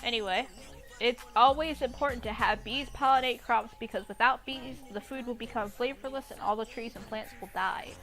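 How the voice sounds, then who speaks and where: Young female reader-commentator, higher-pitched, sweary and irreverent, casual delivery with music underneath. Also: moderate pace, a bit bright. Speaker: host reading and mocking fan fiction. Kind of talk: casual commentary on a read-aloud story. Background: background music.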